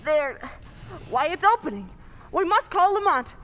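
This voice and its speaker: A young woman playing a heroine in an old-time radio drama, speaking with crisp urgency. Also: very high-pitched, very animated, normal speed, fairly bright tone, slight background noise, heavily acted. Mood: wary. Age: young adult. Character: young woman caught by a killer, brave but frightened. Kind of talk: 1940s radio acting.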